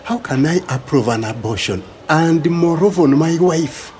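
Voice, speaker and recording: A man's voice: on the high side, expressive, moderate pace, a little mellow, a little hiss or hum, natural voice. A man with a Ugandan accent playing a devout, stubborn husband and church leader in a radio drama, rigid and indignant in manner.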